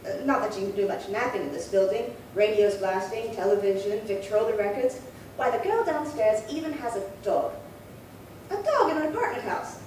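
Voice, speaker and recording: A woman's voice: mid-range voice, animated, moderate pace, darkish tone, lightly acted. A woman playing a chatty, dithering elderly single lady in an old-time radio drama, rambling politely and fussily. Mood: fussy complaining. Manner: rambling, fussy, politely fluttery. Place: live theatre performance space. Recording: very echoey hall, distant, faint noise.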